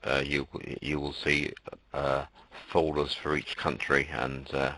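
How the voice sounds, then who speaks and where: A man's voice: extremely low pitch, completely flat delivery, slow, sharp tone, no room sound. A man giving live bridge commentary, explaining patiently and often repeating words as he thinks.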